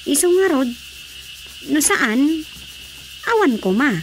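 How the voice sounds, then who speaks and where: An everyday Ilocano housewife talking in a radio drama. Her pitch is notably high, and faint crickets chirp in the background. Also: sing-song, slow, slight background noise, full character voice. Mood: conversational.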